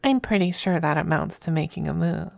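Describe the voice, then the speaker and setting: A performer acting a smug, affectionate demon femboy in an intimate ASMR roleplay, teasing and coy, with breathy turns into whispers. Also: medium pitch, brisk, a little mellow, no echo, clean studio sound. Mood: mocking, possessive.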